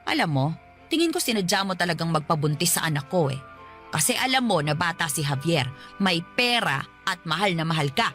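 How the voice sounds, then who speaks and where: A woman's voice: lowish voice, sing-song, a little fast, fairly bright tone, faint music behind. An actress playing a cruel, haughty older mother-in-law in a Filipino radio drama, scolding with sarcasm and contempt. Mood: accusing, contemptuous.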